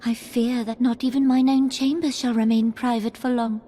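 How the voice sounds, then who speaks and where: An actress playing a small, earnest young female ruler in a fantasy game, speaking in measured, formal phrases. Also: a little high, level tone, warm tone, close to an everyday voice. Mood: grave, resolute. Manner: regal, measured, archaic phrasing.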